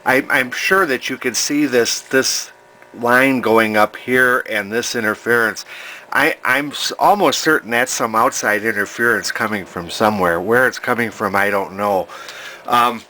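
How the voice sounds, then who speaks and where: An older man giving a casual, unscripted hobbyist demonstration, talking in a folksy, halting way as he thinks aloud. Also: medium pitch, pushed and bright, poor audio. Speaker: hobbyist demonstrating a vintage television he repairs.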